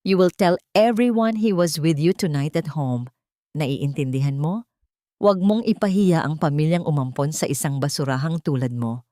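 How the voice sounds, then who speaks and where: A woman's voice: low voice, moderate pace, mellow, no room sound, clean studio sound, close to an everyday voice. A woman voicing a domineering, contemptuous in-law who gives menacing orders in an audiobook drama. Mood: threatening, contemptuous. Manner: commanding, menacing.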